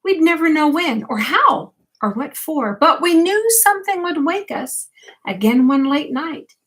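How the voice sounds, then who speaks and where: Slow-paced older woman reading a bedtime story. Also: sits high, animated, a bit bright. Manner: unhurried, addressing young listeners directly. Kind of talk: expressive read-aloud of a rhyming picture book.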